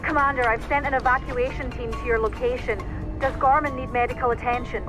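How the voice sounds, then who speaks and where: A woman's voice: fairly high pitch, fairly even intonation, a little fast, music in the background, lightly acted. Cautious female mission-control operator voiced by an actress. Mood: concerned. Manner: formal, informative, concerned. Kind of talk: dramatic video game cutscene acting. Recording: clearly noisy, vehicle-like hum.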